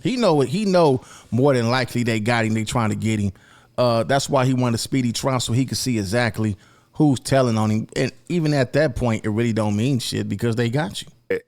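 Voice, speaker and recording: A man's voice: mid-range voice, a little fast, darkish tone. A man co-hosting with casual, blunt commentary, swearing and repeating his points for emphasis.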